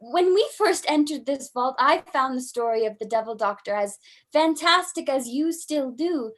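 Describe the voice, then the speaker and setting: An actress playing a young daughter, trembling and fearful. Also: female voice, sits high, animated, moderate pace. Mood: pleading. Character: frightened young daughter Edith. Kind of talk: old time radio drama acting.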